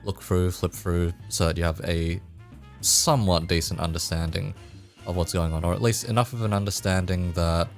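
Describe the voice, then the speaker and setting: A man with a very low voice hosting a relaxed interview over a call. He rambles easily from point to point, with quiet music under him. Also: normal speed. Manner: laid-back, rambling, laughs often. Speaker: interviewer, host. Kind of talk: casual interview chat.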